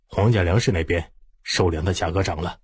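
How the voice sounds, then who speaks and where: A man's voice: low voice, a little fast, husky, dry recording, voice pushed down. A man voicing a gloomy, decisive male household steward with a curt, firm manner in an audiobook. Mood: stern.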